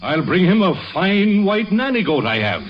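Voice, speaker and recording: A man's voice: sits high, sing-song, moderate pace. A man playing a genial, blarneying Irish trader in 1940s radio comedy style. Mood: flustered, genial. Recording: muffled, noisy old radio recording.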